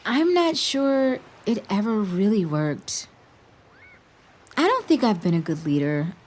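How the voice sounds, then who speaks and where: A woman's voice: mid-range voice, big pitch swings, slow, a little mellow. A woman playing an earnest, unsure teenage team leader in an audio drama. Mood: self-doubting. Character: self-doubting teenage girl team leader.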